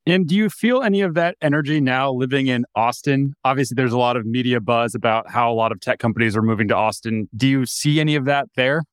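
A man's voice: medium pitch, clean tone, no echo, clean studio sound. A man hosting a podcast, reading an upbeat intro and then asking casual interview questions. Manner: upbeat, brisk host delivery. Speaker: podcast host.

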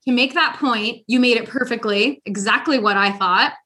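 A woman co-hosting a podcast in casual chat, blunt and animated, swearing freely. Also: on the high side, normal speed. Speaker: podcast co-host.

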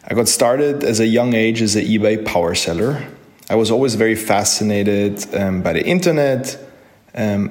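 Earnest man in his thirties. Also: fairly low pitch. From Austria. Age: 30s. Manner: earnest, enthusiastic, with frequent fillers and restarts. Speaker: online entrepreneur. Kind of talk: personal story told to camera.

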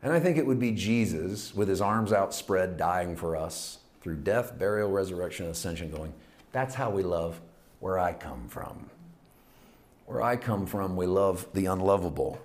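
A man preaching a sermon, building with repeated phrases and dropping to hushed, intimate passages. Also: deep voice, lively intonation, normal speed. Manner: emphatic, repetitive preaching cadence, swings from loud to hushed. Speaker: pastor.

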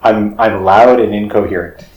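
A man's voice: lowish voice, fairly even intonation, moderate pace, darkish tone. A man in his forties co-hosting a podcast, joking and rambling through casual chat with many restarts. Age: 40s. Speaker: podcast co-host. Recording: roomy echo with faint music.

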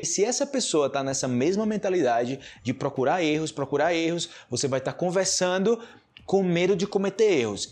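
A man with a Brazilian Portuguese accent speaks as an informal language teacher. His voice is husky rather than smooth. He explains conversationally, leaning on repetition to stress his points. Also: a little high, darkish tone. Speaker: Portuguese teacher and podcast host. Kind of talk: informal explanatory talk to learners.

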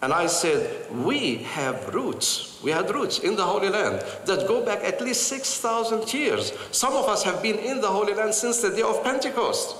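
An older man from Palestine telling personal stories to an audience with earnest, animated delivery and frequent pauses. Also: high voice, slight background noise. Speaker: speaker. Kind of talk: personal storytelling talk. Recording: echoey hall with light ambience.

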